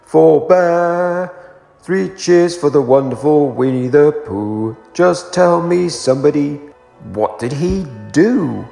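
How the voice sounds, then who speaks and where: A man voicing a simple, good-natured storybook bear chanting to himself in an anxious, drawn-out sing-song. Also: a little high, creaky, mellow, voice pushed up. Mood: anxious, muddled. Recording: roomy echo, long reverb, faint music.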